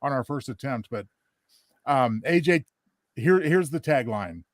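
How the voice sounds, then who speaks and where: Male co-host, conversational, very animated intonation, dry close sound. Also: medium pitch, unhurried, dry recording. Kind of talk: casual chat.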